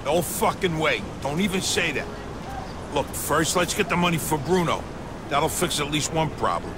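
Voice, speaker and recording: An actor playing a hot-headed, loyal American mobster in a video game, with brash, blunt street talk. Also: male voice, on the high side, expressive, moderate pace, noisy background, natural voice. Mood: grim. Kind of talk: dramatic video game acting. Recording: music behind the voice.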